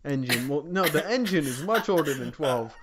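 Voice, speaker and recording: A man co-hosting a podcast in casual gaming chat, with a hesitant, halting delivery. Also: a little high, expressive, background noise. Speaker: podcast co-host.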